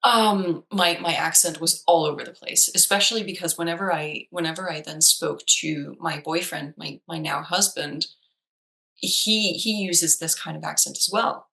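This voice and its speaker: Bright-voiced woman, a Danish native, chatting. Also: low voice, normal speed, vocal fry, rough recording. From Denmark. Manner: chatty, with many fillers and restarts. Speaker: psychologist. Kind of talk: casual chat.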